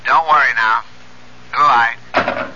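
An actor playing a businesslike, reassuring police lieutenant over a telephone in a 1970s radio drama. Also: male voice, medium pitch, steady tone, slow pace, pushed and bright. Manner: businesslike, matter-of-fact. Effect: telephone filter. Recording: tinny, dull telephone-filtered radio recording.

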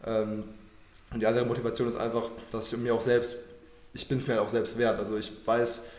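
A young man speaks quickly and earnestly, his words tumbling out with filler words and slangy turns as he reflects. His voice sits at an ordinary middle pitch. The room around him rings a little. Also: level tone, darkish tone, reverberant. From Germany. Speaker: guest. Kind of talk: casual personal interview.

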